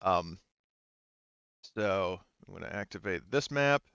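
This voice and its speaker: A man acting as game master for a tabletop roleplaying game, explaining rules and scenes in a halting, conversational way on a close microphone. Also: sits low, expressive, vocal fry, a bit bright, no echo, dull audio.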